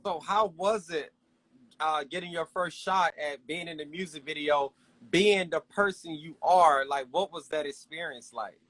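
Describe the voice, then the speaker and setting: A man's voice: fairly high pitch, measured pace, fairly bright tone, low-quality recording. A man hosting a casual interview, friendly and hyped, speaking in slang. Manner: friendly, hype, uses slang. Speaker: interview host.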